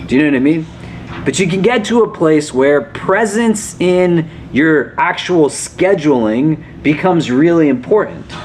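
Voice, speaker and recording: A man's voice: a little high, lively intonation, a little slow, a little mellow, slight background noise. A man, an imam leading a casual religious class, asking questions and often checking in with "do you know?". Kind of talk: conversational religious lesson.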